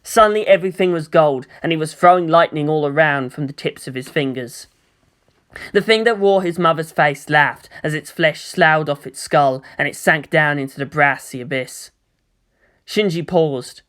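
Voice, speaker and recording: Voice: mid-range voice, moderate pace, fairly bright tone, clean tone. A narrator reading scripted fiction aloud in a dramatic storytelling style.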